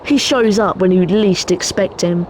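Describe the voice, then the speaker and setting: A woman's voice: medium pitch, a little slow, background noise, close to an everyday voice. A woman voicing a chatty, playful schoolchild who trades sweets for secrets in a video game. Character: chirpy schoolchild trading sweets for passwords. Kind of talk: video game character acting.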